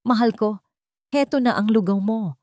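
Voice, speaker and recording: A scheming Filipino fiancé in an audio love story, voiced by a woman, sugary and calculating. The words come smoothly in a dry, close recording. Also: fairly high pitch, moderate pace, a little mellow, dry recording, voice pushed up, close to an everyday voice. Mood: falsely tender. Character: scheming, falsely tender fiancé, a greedy man.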